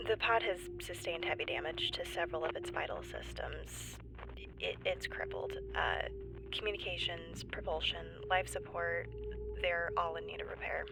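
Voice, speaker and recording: Woman acting a creaky, low, stranded geologist. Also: fairly even intonation, music in the background, rough recording. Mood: anxious, wry. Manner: halting, awkward, wryly understated. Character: stranded woman, nervous civilian geologist keeping an emergency log. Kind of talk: found-footage sci-fi audio drama monologue.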